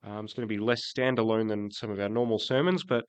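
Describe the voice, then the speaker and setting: A man preaching a sermon in church, croaky from a cold, hesitant, with many ums and restarts. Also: fairly low pitch, fairly even intonation, quick pace, no echo. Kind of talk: sermon with prayer.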